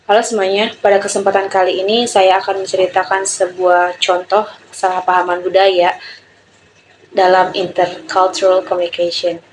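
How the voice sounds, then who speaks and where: A woman's voice: mid-range voice, level tone, normal speed, a little hiss or hum, low-quality recording. A young woman giving a prepared student presentation, telling and explaining an example. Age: young adult.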